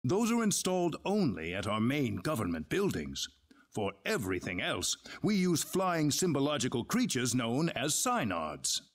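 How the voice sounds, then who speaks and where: An actor playing a grave, courteous leader of an advanced civilization in a video game, speaking in formal, measured exposition. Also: male voice, medium pitch, wildly swooping pitch. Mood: measured, instructive. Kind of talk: dramatic video game dialogue.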